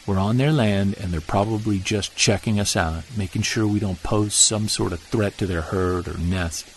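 A man acting as a commanding wilderness monster hunter in an audio drama. His voice sits fairly low, terse and urgent, giving orders with tense authority. Clear outdoor ambience runs behind him. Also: normal speed, a little hiss or hum, natural voice. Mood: firm, controlled. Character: tense, commanding male Bigfoot hunter in the woods.

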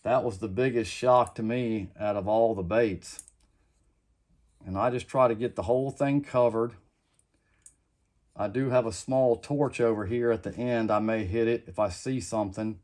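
A middle-aged man giving a casual how-to demonstration at his home workbench, rambling and often repeating himself. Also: fairly low pitch. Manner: rambling, repeats "like I said", relaxed and plain-spoken. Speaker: custom lure maker.

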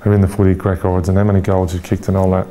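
A man in his forties from Ireland with an Irish accent, an interview guest speaking candidly and reflectively, pausing often with fillers and restarts. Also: low voice, little pitch movement, mellow.

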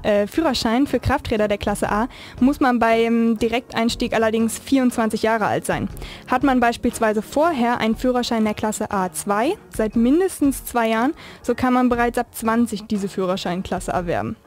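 Higher-pitched woman co-hosting radio, music behind. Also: a little mellow. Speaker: radio show co-host. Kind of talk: informal radio moderation, partly scripted.

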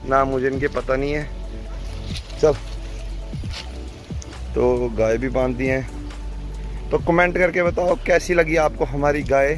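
A young man from Himachal Pradesh, chatting informally to viewers in a casual vlog, recorded outdoors on a phone at arm's length. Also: fairly high pitch. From Himachal Pradesh, India. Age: young adult. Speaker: vlogger. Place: outdoors, rural farmhouse yard and fields. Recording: phone video with music under voice. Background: music.

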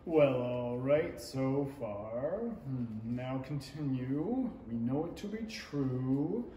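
A man's voice: mid-range voice, lively intonation, slow, warm tone. A young man playing a muddled, self-satisfied king in a 1930s-style radio play, hemming and musing pompously. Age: young adult. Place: indoor stage in a small theatre. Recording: echoing stage pickup, faint noise.

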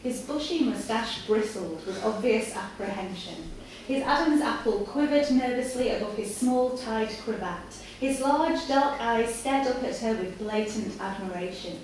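A young woman reading a story aloud in a measured, deliberate storytelling delivery. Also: fairly high pitch, lively intonation, a little mellow. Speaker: reader at a live literary night. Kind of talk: dramatic reading of written fiction. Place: live in a café before an audience. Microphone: far camera. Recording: echoey, distant camera mic, room noise.

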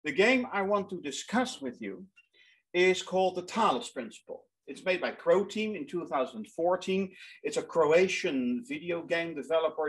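A man's voice: fairly high pitch, a bit bright, low-quality recording. A man in his thirties with a Dutch accent, giving an online lecture in English as a second language, earnest and often repeating words as he searches for them, recorded over a webcam in a small room. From Netherlands.

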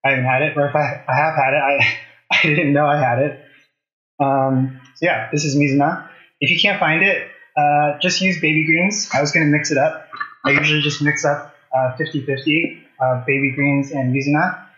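A man's voice: fairly high pitch, fairly even intonation, normal speed, sharp tone. Man chatting casually in a roomy kitchen. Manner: conversational, asks viewers questions while working. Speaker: cooking show host. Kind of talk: casual live cooking demonstration, chatting to viewers. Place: home kitchen, indoors. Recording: slightly dull, roomy kitchen sound.

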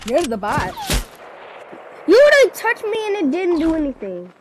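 A woman gamer with a high voice that leaps all over the place, whining and taunting opponents in a slow, drawn-out way. A loud hiss of noise sits under the whole recording. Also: huge pitch swings. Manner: whiny, teasing trash talk to other players. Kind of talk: live gameplay commentary.

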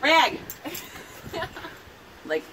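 A young woman at an outdoor playground, joking and swearing while she climbs the equipment, recorded on a phone. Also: very slow. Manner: swearing, self-mocking, laughing between lines. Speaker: playground challenge participant. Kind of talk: casual banter while climbing. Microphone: phone camera held by someone else. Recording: noisy outdoor phone audio.

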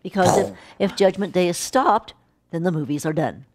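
A middle-aged woman with a slightly low voice talks as co-host of an informal review. She is emphatic and conversational, often repeating a word or phrase to drive a point home. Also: lively intonation, normal speed. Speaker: film review show co-host. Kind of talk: casual review chat. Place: home kitchen, indoors.

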